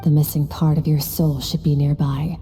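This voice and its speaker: Game casting: woman to voice aloof commanding swordswoman mentor, deep and very mellow, detached delivery, faint music underneath. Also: little pitch movement, lightly acted. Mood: analytical, composed. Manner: detached, measured, imperious. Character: aloof, coolly commanding woman warrior mentor, stern and unsentimental. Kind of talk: video game voice acting.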